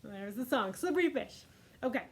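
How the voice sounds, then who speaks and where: A woman presenting a children's storytime, reading a picture book aloud in an expressive, deliberate manner. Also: fairly high pitch, husky.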